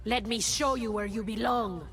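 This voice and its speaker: An actress voicing a proud, fierce, protective jungle huntress in a video game, menacing and deliberate, with a sardonic edge. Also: female voice, fairly high pitch, a bit bright. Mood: stern warning. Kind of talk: video game character voice lines. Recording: game clips with music behind.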